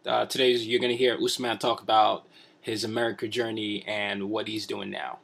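A man hosting a podcast, introducing the episode in a relaxed, informal way. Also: on the low side, moderate pace, gravelly, heavy background noise. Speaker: podcast host. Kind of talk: casual show introduction. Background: music.

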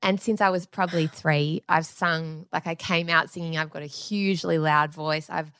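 A woman's voice: low voice, moderate pace, no echo, studio quality. A woman sharing a heartfelt personal story candidly in a conversation. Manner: candid, heartfelt, animated in telling.

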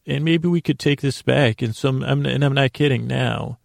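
A man hosting a bedtime podcast, rambling in a gravelly, creaky voice with halting, stammered repetitions and a self-deprecating manner. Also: mid-range voice, dry recording, studio quality. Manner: meandering, halting, stammering with repeated words. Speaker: podcast host.

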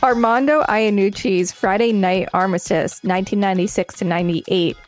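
A woman's voice: medium pitch, steady tone, moderate pace, faint music behind. A woman co-hosting a podcast, reading articles aloud and chatting casually between entries. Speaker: podcast co-host.

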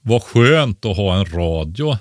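Low-voiced man explaining in casual podcast talk. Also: big pitch swings, no echo, clean studio sound. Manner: didactic, often tags statements with a questioning "va?".

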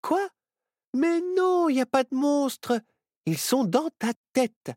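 A man voicing a fearless young girl who speaks with calm, confident authority. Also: very high voice, slow, no room sound, clean studio sound, voice pushed up, heavily acted. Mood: dismissive. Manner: confident, matter-of-fact. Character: bold, brisk young girl, calm and bossy. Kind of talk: storybook reading.

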